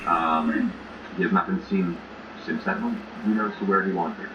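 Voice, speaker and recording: A man acting as game master, improvising descriptive narration for a tabletop game with halting filler words. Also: deep voice, highs cut off, noisy background. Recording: roomy, distant mics, music behind.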